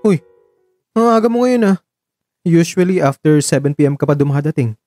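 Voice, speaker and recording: Man acting a mellow, caring young suitor. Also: on the high side, lively intonation, moderate pace, no room sound, natural voice. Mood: light, teasing. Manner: gentle, reassuring, conversational Taglish. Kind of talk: radio drama acting. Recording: voice over background music.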